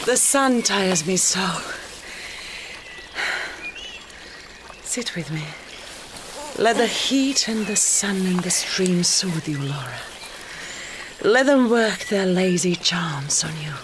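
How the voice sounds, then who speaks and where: Languid vampire lady, gothic radio play, really slow intimate murmur, tender but possessive, noisy background. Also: on the low side, animated. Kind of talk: gothic audio drama acting.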